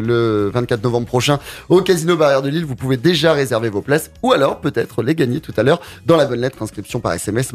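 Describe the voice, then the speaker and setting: A man hosting a radio interview, chatty and rephrasing his questions, with fillers. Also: medium pitch. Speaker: radio host.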